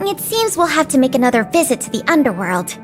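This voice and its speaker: Bright-pitched, animated young officer. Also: female voice, fast talker, music in the background. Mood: explanatory, earnest. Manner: articulate, businesslike, explanatory. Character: earnest, dutiful young female guard intelligence officer, brisk and polite. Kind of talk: anime-style video game voice acting.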